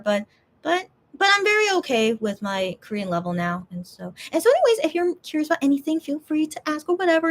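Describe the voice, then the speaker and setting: Chatty woman vlogging. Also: fairly high pitch. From USA. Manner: chatty, rambling, many restarts and fillers. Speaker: YouTuber, channel host. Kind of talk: casual chat with viewers.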